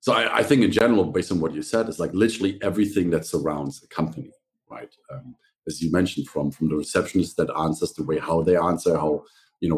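A man's voice: low voice, a little mellow. A man hosting an interview podcast, introducing and questioning in a conversational way. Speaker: podcast host.

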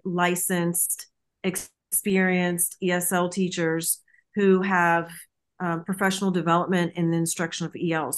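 Firm, earnest woman with a low voice. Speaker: EL teacher, guest.

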